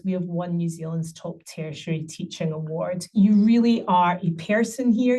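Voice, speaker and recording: A woman's voice: lowish voice, mellow. Woman, middle-aged, webinar presenter, upbeat and promotional, a few ums, slightly distant mic. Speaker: university recruitment presenter.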